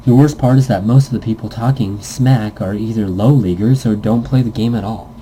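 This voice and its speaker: A man's voice: on the low side, brisk, warm tone, slight background noise, poor audio. A man casually reading a visual novel script aloud as narration, stumbling now and then and correcting himself. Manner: stumbles over words, corrects himself, jokey asides.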